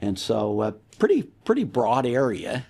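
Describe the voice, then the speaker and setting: A man's voice: medium pitch, huge pitch swings, vocal fry, warm tone, breathy edge. A man hosting a podcast in a casual interview style, often repeating words as he feels his way into a question. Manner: halting, repeats words while forming questions. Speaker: podcast host.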